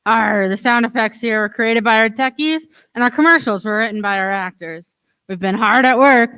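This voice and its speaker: A woman's voice: a little high, moderate pace, fairly bright tone, clean tone, highs cut off. A woman announcer giving a scripted show introduction in playful pirate talk.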